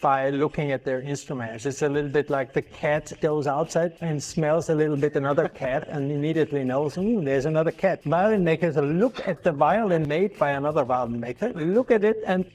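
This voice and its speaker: A man with an Italian accent, a violinmaker hosting a casual interview in his workshop, eager and animated in slightly broken English. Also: fairly high pitch, brisk, hardly any pauses, slight background noise. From Italy. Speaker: violinmaker and host.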